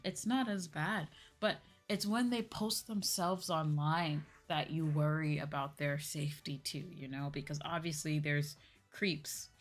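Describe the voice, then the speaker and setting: A woman livestreamer chatting casually with her viewers, with music playing behind her. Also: low-pitched. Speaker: livestreamer, VTuber host.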